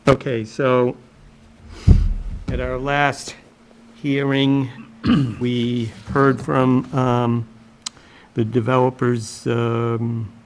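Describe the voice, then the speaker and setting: A man chairing a town commission meeting, speaking slowly with many pauses and fillers. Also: medium pitch, level tone. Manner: slow, hesitant with many fillers. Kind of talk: public meeting.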